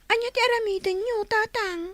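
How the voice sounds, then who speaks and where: A woman's voice: very high-pitched, fairly even intonation, fairly quick, a bit bright, dry recording. A woman acting a devoted, worried young daughter in a radio drama, speaking in an earnest, pleading way. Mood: hesitant, worried.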